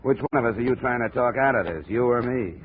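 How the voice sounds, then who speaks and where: An actor playing a tough, cynical American insurance investigator in a 1950s radio drama, speaking in a clipped, hard-boiled way. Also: male voice, medium pitch, quick pace, close to an everyday voice. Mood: curt, challenging. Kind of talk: 1950s radio detective drama, first-person narration and dialogue. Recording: muffled, noisy 1950s radio recording.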